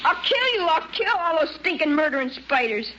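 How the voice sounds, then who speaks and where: A high, piercing young boy, brave and scared, delivers urgent, earnest lines. It is old radio drama acting on a dull recording with faint noise. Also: very animated, normal speed, pushed and bright, heavily acted. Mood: defiant, angry. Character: brave, frightened young farm boy on Mars.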